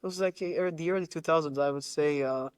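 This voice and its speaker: A man from Lebanon who grew up in Qatar, talking casually as a podcast guest in a halting, rambling way, repeating words as he searches for phrasing. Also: fairly high pitch, smooth, dry recording, clean studio sound. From Lebanon, raised in Qatar. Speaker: musician interview guest.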